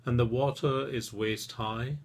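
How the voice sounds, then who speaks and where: A man leading a guided relaxation session as a therapist, speaking soothingly and gently in short phrases with long pauses. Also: mid-range voice, fairly even intonation.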